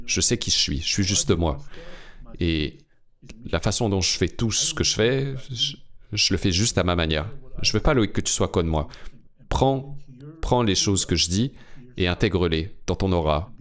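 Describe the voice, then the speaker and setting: A man's voice: low-pitched, moderate pace. A man voicing a guest's translated interview answers, speaking earnestly with repeated phrases. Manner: earnest, advising, repetitive phrasing. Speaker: French voice-over interpreter for a guest.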